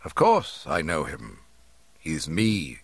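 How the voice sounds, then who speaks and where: A man voicing a kindly, wise old hermit in an audiobook, speaking gently, slowly and deliberately. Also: a bass voice, wildly swooping pitch, husky, no room sound, voice pushed down, exaggerated voice. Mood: knowing, calm.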